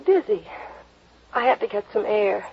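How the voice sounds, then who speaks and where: A dazed, frightened suspect. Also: female voice, medium pitch, lively intonation, vocal fry, slightly rough, heavily acted. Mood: dazed, shaken. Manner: halting, hazy, trailing off. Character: dazed, frightened young woman suspected of murder. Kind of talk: 1950s radio drama acting. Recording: dull old radio broadcast recording.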